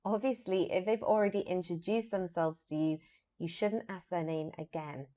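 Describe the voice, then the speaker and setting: A British woman with a fairly low voice speaks as an English teacher. She explains in a structured, instructional style, pronouncing model phrases deliberately and with emphasis so learners can follow. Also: dark tone. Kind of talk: instructional lesson to camera, scripted explanation with example phrases.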